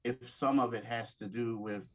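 A man with a mid-pitched voice gives casual sports talk as a guest writer. He speaks haltingly, repeating words as he works through a thought. The sound is slightly dull and band-limited. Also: steady tone.

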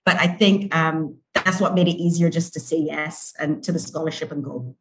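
A woman's voice: low-pitched, fairly even intonation, normal speed, background noise. A woman in her forties from Barbados, giving a conversational personal account as a podcast guest. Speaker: podcast guest, corporate event organizer.

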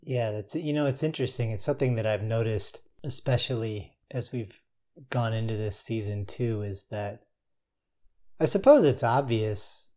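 A man's voice: lowish voice, normal speed, warm tone. A man hosting a thoughtful, conversational interview, phrasing his questions in a tentative, musing way. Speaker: interviewer, host.

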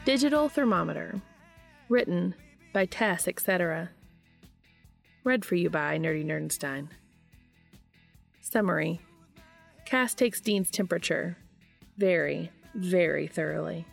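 A woman hosting a podcast and reading fan fiction aloud in an expressive audiobook style. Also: fairly low pitch, a little slow, creaky, pauses often, soft background music.

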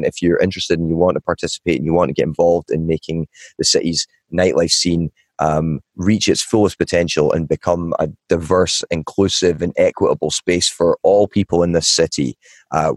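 Podcast guest, man, Scottish Highland accent, very low voice, dry close sound, rambling and self-correcting. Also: steady tone, fairly quick, no echo. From Scotland. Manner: rambling, many restarts and fillers. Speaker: guest, nightlife advocate. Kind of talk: casual podcast interview.

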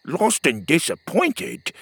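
A man voicing an upbeat young companion who teases and reassures, in a high, animated voice. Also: takes it slowly, creaky, slightly rough, dry recording, full character voice. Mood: teasing. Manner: teasing, reassuring.

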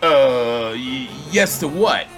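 An actor playing an earnest young suitor who rambles eagerly and stammers in a period audio drama. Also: male voice, medium pitch, animated, takes it slowly, fairly bright tone, faint music behind. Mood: nervous, eager. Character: earnest, babbling young 1920s suitor and announcer. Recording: noisy outdoor ambience behind.

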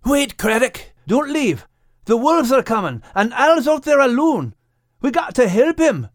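Storybook dog played by a woman: earnest, loyal fellow, folksy, higher voice with lively intonation. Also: slow pace, no echo, clean studio sound. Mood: alarmed. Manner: hearty, folksy wording. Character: loyal, encouraging male dog, earnest friend.

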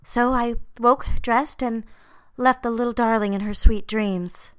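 An actress playing an earnest, defensive young secretary and dutiful daughter in an audio drama. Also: female voice, a little high, steady tone, unhurried, fairly bright tone, smooth, dry recording. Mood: reflective, wry. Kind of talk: audio drama acting with first-person diary narration.

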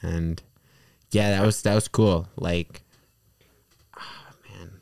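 A man's voice: deep voice, fairly even intonation, takes it slowly, gravelly, darkish tone, no room sound, studio quality. A young man from New York in casual conversation, rambling with lots of "like" and restarts. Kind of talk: casual podcast chat.

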